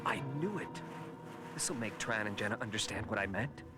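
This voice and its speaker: An actor playing an eager eighteen-year-old hero in a cartoon, earnest and expressive. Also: male voice, on the low side, normal speed, over loud music. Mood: wondering. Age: young adult. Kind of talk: animated series voice acting.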